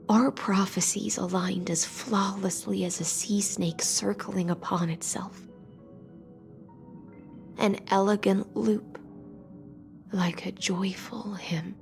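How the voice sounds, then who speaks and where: A solemn, enigmatic oracle from a video game. She speaks very slowly in a hushed, measured, mid-range female voice, poetic and grave, with music beneath. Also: mid-range voice. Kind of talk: scripted video game voice acting.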